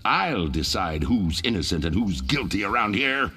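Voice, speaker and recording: Mean sheriff villain for a video game, low voice that swings a lot, slick and threatening. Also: male voice, big pitch swings, normal speed, sharp tone, soft background music. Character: menacing, mean, crooked male sheriff. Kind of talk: cartoonish video game acting.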